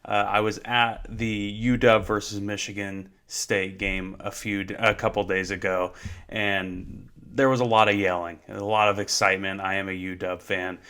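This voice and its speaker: A young man hosting a solo sports talk show, a little hoarse, with many fillers, and his pitch climbs when he rants in exasperation. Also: flat delivery, pushed and bright. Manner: many fillers, unhurried delivery. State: slightly hoarse from yelling. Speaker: host.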